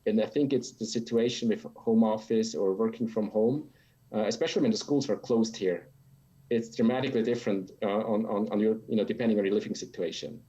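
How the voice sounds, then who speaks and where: A middle-aged man from Switzerland answering casually as an interview guest, halting and repeating words as he thinks. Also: fairly low pitch, mellow, slightly rough. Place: home office that doubles as playroom. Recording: dull, lo-fi video call audio.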